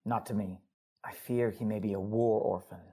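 Casting: devoted husband figure, husky and creaky voice, earnest, deliberate audio drama delivery. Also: male voice, lowish voice, steady tone, warm tone. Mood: urgent, concerned. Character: earnest, devoted male lover and secret husband.

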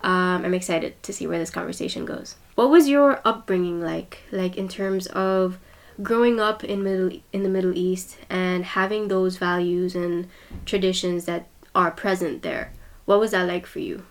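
A woman's voice: on the low side, fairly even intonation, normal speed. A woman hosting a podcast, upbeat and earnest, speaking directly to her listeners. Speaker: podcast host.

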